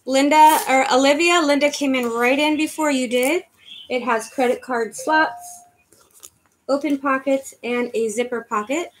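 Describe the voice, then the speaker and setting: A woman's voice: sits high, unhurried, low-quality recording. A woman in her fifties hosting a live online handbag auction from her living room, chattily calling out bids and thanking viewers by name. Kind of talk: live-stream auction patter. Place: living room at home, indoors. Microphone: webcam in front of her.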